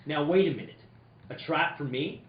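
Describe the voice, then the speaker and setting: Man acting a halting detective in a roomy recording. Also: on the high side, close to an everyday voice. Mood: suspicious. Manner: stammering, halting. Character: male private investigator narrating, suspicious and wry. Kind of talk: live reading of old-time radio detective drama. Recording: roomy, slightly noisy, dull recording.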